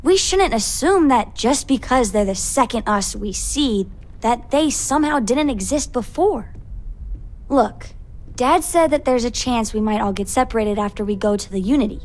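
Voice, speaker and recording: A woman's voice: fairly high pitch, lively intonation, normal speed, darkish tone, natural voice. A young woman voicing an earnest, thoughtful, eager girl in a video game. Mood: earnest, worried.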